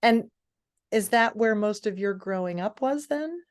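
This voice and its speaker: A woman's voice: mid-range voice, expressive, unhurried. A woman interviewing a guest, asking questions and responding often with short encouraging reactions. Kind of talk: casual interview.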